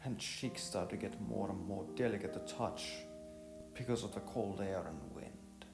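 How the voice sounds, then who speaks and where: A man's voice: fairly low pitch, creaky. A man reading a scripted story as an amateur narrator, stumbling and repeating words as he goes. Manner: halting read with stumbles and repeats. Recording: roomy echo with music behind. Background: music.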